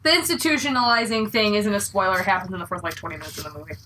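A woman's voice: medium pitch, very fast, noisy background. A woman co-hosting a casual podcast, rambling through her thoughts and filling them with "like". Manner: rambling, heavy use of "like". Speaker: podcast co-host. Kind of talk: casual chat. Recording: distant mic in a room.

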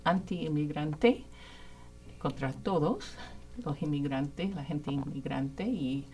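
A woman speaking as a radio guest, thoughtful and pausing to find her words. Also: sits low, dark tone. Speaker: community center worker.

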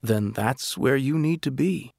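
A calm, wise older father who speaks quietly and steadily, voiced by a man narrating a story. His voice is gravelly and mid-pitched, recorded close and dry. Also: a little slow, no echo. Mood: gentle.

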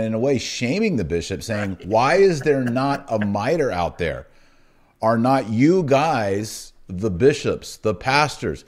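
A man hosting a podcast with conversational religious commentary in an animated, argumentative way. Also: medium pitch. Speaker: podcast host.

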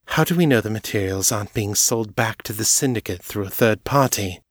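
A man's voice: fairly low pitch, brisk, no room sound, studio quality, pitched above the performer's own voice, close to an everyday voice. A man voicing a blunt, principled female vampire who presses her points directly. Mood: pressing.

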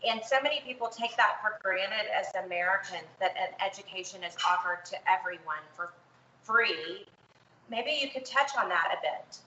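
A woman's voice: medium pitch, moderate pace, sharp tone. A woman hosting a chatty interview show at home, speaking in an effusive, enthusiastic way. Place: home, indoors with windows open. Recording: echoey room, muffled, faint background noise.

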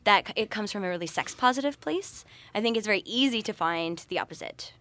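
A woman's voice: mid-range voice, lively intonation, a little fast, a bit bright, no echo. A woman speaking as an interview guest, explaining her views in a thoughtful, conversational way. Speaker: interview guest, sexuality educator and researcher.

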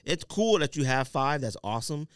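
Man, animated pitch, podcast host, casual slangy banter, teasing, dry sound. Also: normal speed, clean tone, no echo. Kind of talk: casual sports and sneaker banter.

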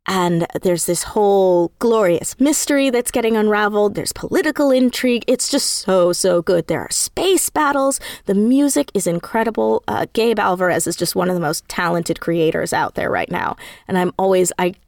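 A woman's voice: mid-range voice, moderate pace, hardly any pauses, a little mellow, dry recording, clean studio sound. A woman chatting casually as an interview guest, with an effusive, enthusiastic way of talking. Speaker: interview guest, audio drama creator.

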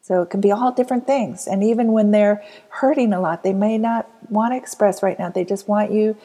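Soft whispery woman guiding a meditation. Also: mid-range voice, husky. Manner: soft, slow and soothing, often dropping to a whisper. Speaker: meditation guide. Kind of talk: guided inner child healing meditation.

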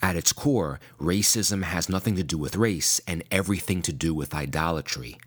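Very deep-voiced man preaching. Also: moderate pace, fairly bright tone, breathy edge. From United States (New York). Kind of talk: scripted sermon.

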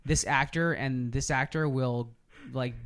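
A man's voice: medium pitch, steady tone, unhurried, fairly bright tone, dry recording. Man chatting in a dry, close sound. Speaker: podcast host. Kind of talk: casual chat about video game movies.

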